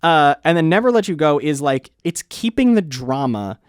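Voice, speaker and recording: A man's voice: on the high side, big pitch swings, normal speed, smooth, dry recording, studio quality. A man co-hosting a college radio music review, talking in a loose, rambling way with frequent restarts and casual swearing. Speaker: co-host of a music review show.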